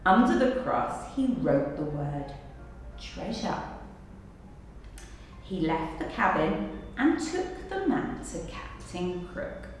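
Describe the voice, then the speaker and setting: A woman teacher reading a children's story aloud with expressive narration. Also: on the low side, slow, long pauses. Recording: echoey hall, distant mic, background noise.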